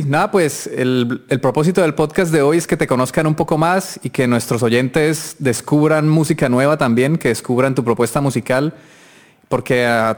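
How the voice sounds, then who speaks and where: A man hosting a music production podcast, asking questions in a relaxed, friendly, chatty way. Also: medium pitch. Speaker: podcast host. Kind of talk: casual interview.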